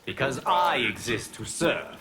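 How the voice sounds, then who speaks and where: An actor voicing a serene, wise guardian god in a fighting game, calm and formal. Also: male voice, medium pitch, a little slow, a little hiss or hum. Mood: calm, solemn. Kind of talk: scripted fighting game dialogue.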